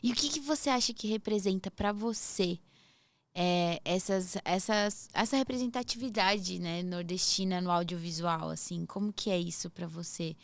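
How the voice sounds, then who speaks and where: A woman's voice: on the low side, moderate pace, vocal fry, no echo. Chatty interviewer woman from southern Brazil. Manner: warm, agreeable, frequent backchannel. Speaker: podcast host. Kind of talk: casual interview questions.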